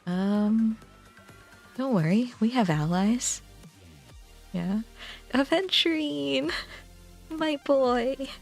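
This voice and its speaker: A woman's voice: a little high, very slow, gravelly, pauses often. A woman live-streaming a game playthrough, reading dialogue aloud and reacting casually. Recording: music in the background. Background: game music.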